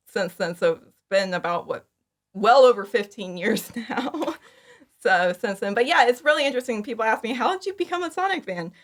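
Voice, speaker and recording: A woman's voice: medium pitch, fairly bright tone. A woman in her thirties chatting casually as an interview guest, enthusiastic, informal and giggly. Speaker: podcast guest, fan audio drama creator. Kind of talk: casual chat, interview answers.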